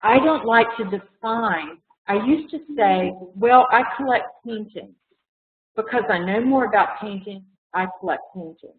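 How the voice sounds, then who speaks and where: A woman's voice: mid-range voice, lively intonation, a little slow, a little hiss or hum. A woman art collector talking casually in a webinar, rambling with lots of fillers and restarts, and losing her voice. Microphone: video call. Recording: echoey room over tinny video call.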